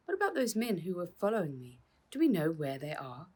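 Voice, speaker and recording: A woman reading the part of a battered, genial, gentlemanly uncle coming round in a children's storybook. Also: lowish voice, huge pitch swings, brisk, slightly rough, full character voice. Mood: recovering, curious.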